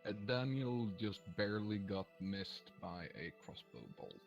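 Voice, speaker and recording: A man's voice: fairly low pitch, a little slow, creaky, muffled recording, faint music behind. A man acting as game master, narrating a tabletop game with many ums and uhs. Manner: hesitant, lots of ums and uhs.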